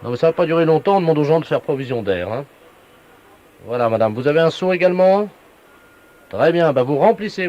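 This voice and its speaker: A man playing a brisk, earnest utility official on a prank call, giving residents instructions in a businesslike deadpan. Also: mid-range voice, a little hiss or hum, lightly acted. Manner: businesslike, instructing, repeated tag questions. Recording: dull, lo-fi radio prank recording.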